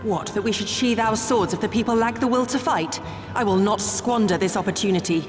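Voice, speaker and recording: A woman's voice: mid-range voice, fairly quick, music in the background, natural voice. An actress playing a grave, determined shinobi woman in a video game, with solemn, formal, archaic speech. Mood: fierce, resolute. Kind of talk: dramatic video game voice acting.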